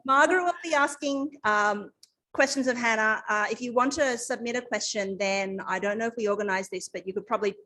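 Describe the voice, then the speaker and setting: A woman hosts a community meeting in a fairly high voice. Her delivery is informal and a little hesitant, as if organising things while she talks. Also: brisk, sharp tone, poor audio. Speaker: meeting host. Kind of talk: informal introduction of a guest speaker.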